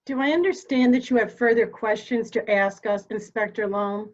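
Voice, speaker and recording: A composed widow played by a woman with a slightly high voice, heard in dull, lo-fi audio. She is polite, formal and controlled, in a murder mystery reading. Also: normal speed, lightly acted. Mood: guarded, formal.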